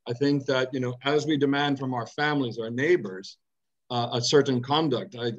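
A middle-aged man speaking from a home office over an earphone mic, asking a long, deliberate question with emphatic repetitions. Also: medium pitch, lo-fi sound. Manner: deliberate, emphatic, with many repeated words. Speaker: audience member asking a question. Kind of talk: earnest spoken question on a video call.

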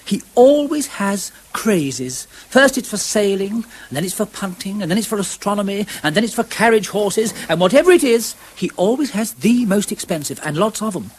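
An actor with a British accent playing a practical, good-natured gentleman rat in a radio drama, chatty and easygoing. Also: sits high, very animated, moderate pace, few pauses, breathy edge, heavily acted. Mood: explaining. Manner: chatty, easygoing, matter-of-fact. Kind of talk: 1970s BBC radio drama acting. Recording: vintage radio broadcast, faint background noise.

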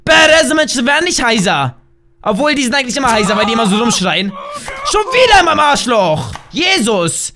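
A hoarse young man in his twenties, loudly and playfully commentating a game from a small room at home, talking into a desk mic while wearing a headset. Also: extremely high pitch, very animated, a little fast. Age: young adult. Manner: loud, shouty, laughing, playful exclamations. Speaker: gaming YouTuber. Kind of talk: excited gameplay commentary.

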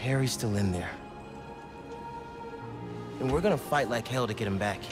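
An actor playing an earnest, loyal young American superhero in a video game, speaking informally and with urgency. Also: male voice, medium pitch, moderate pace, over music, close to an everyday voice. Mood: quietly consoling, resolute. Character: earnest, loyal young male superhero rallying his mentor. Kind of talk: video game cutscene acting.

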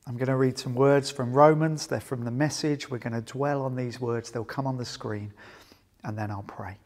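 A man in his forties, a pastor leading communion, speaking earnestly in short phrases with pauses and soft trailing endings. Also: mid-range voice, fairly even intonation. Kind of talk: prayer and scripture reading for church service. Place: large empty indoor sports hall. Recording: echoey sports hall with long reverb.

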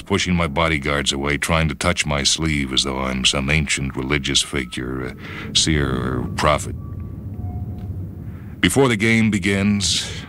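Very deep-voiced man reading radio fiction. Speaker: reader and host of a radio short story program. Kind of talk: dramatic first-person story narration for radio. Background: music.

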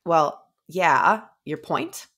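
A woman's voice: sits low, extremely slow, a bit bright, pitched below the performer's own voice, full character voice. A woman voicing a brusque, sardonic female dungeon master with dramatic announcements and dry retorts. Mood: ominous, dry.

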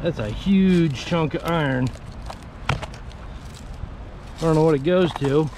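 A man's voice: a little high, slow pace. A man in his forties, chatty and upbeat, narrating his magnet fishing from an old railroad bridge into a wearable camera. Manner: upbeat, chatty, talks to the viewer while working. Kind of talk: casual outdoor vlog narration. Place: outdoors on an old railroad bridge over water. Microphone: wearable action camera. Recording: noisy outdoor action-cam audio.

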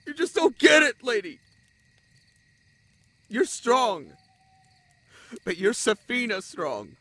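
A man playing an anguished, self-sacrificing young spider-folk lover in a romantic audio drama, halting and pleading in manner. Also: extremely high pitch, sing-song, bright, forceful tone, no echo, full character voice. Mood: agitated, heated.

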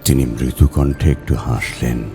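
A man reading a ghost story aloud in a measured, dramatic storytelling manner. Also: rumbling low, steady tone, warm tone. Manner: measured, acts out the dialogue. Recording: background music under the voice.

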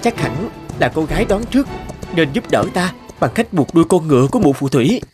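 Earnest young prince over music. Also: male voice, on the high side, sing-song, a little fast. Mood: grateful. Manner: earnest, polite. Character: brave, dutiful young prince of a fairy tale. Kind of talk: cartoon fairy-tale acting.

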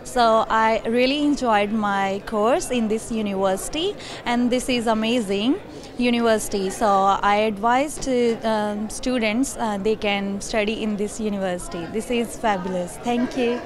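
A woman's voice: fairly high pitch, a little slow. A young woman, a new graduate, gives an informal testimonial into a handheld microphone. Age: young adult. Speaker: graduating master's student. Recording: busy crowd in a hall behind.

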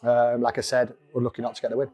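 A man in his forties, a football manager, giving a measured post-match interview into a lapel mic in a small indoor room, with frequent ums. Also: mid-range voice, no echo. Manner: steady, measured, many ums. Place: small indoor room in front of a sponsor board.

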